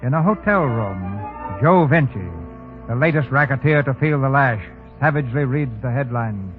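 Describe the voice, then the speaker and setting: A man's voice: mid-range voice, lively intonation, moderate pace, music in the background. Dramatic male narrator, tinny old radio. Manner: dramatic, formal. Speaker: host and narrator. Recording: tinny phone-like 1930s radio recording.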